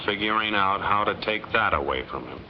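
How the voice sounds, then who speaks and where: A man's voice: on the low side, lively intonation, fairly quick, background noise, close to an everyday voice. A man with an American accent narrating a 1940s film in a folksy, emphatic way. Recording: dull 1940s film soundtrack with music.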